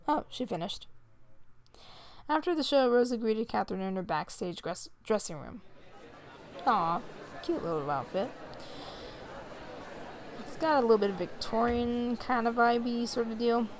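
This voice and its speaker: A woman hosting a let's play, reading visual novel text aloud in a measured way with casual asides. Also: a little high, expressive, a faint crowd, a little hiss or hum. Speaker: host of a gaming video series.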